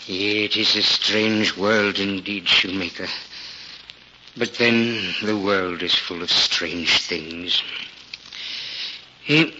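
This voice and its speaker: An actor playing a weary, humble old soldier in a 1940s radio drama, hesitant and halting in his speech. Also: low-pitched, fairly even intonation. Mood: musing, grateful. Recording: noisy, muffled 1940s radio recording.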